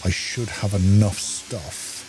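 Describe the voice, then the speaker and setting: A man with a fairly low voice talks through a video game as he plays. He sounds casual and unhurried, thinking aloud as he goes, with music clearly playing behind him. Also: fairly even intonation. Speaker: gaming video host. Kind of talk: casual game playthrough commentary.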